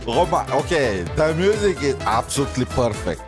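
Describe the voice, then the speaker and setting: A man doing casual, hesitant gaming commentary as a streamer, thinking aloud informally. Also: mid-range voice. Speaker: streamer reacting to game content. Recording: music playing behind the voice.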